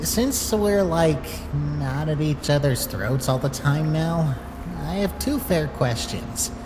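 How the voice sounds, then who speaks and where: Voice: mid-range voice, animated, slow pace, long reverb tail, music in the background. An actor voicing a moody, curious young male talking flower in a fan comic dub, blunt yet hesitant. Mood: prickly, demanding.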